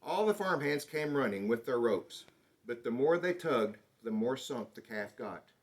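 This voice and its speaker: A man reading a children's picture book aloud in an expressive, dramatic storytelling manner. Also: medium pitch, a little slow. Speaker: storybook reader.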